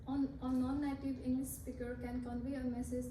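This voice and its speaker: A young woman whose first language is Nepali, giving a class presentation in a halting way, often restarting and correcting herself. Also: high voice, flat delivery, mellow. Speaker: student speaker. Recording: roomy, from a distance, with noise.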